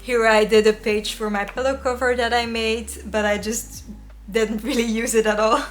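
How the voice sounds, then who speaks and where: A woman's voice: fairly high pitch, little pitch movement, moderate pace, a little hiss or hum. A young woman whose first language is Dutch, chatting casually and self-deprecatingly while flipping through her sketchbook. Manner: chatty, self-deprecating, frequent little laughs. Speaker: artist vlogger. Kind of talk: casual sketchbook tour voice-over.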